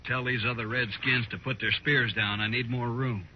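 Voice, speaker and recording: A man's voice: medium pitch, steady tone, normal speed, lightly acted. A man playing a blustering, nervous frontier crook in a 1950s radio western, with a folksy, pushy way of talking. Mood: blustering, uneasy. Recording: muffled, noisy old radio recording.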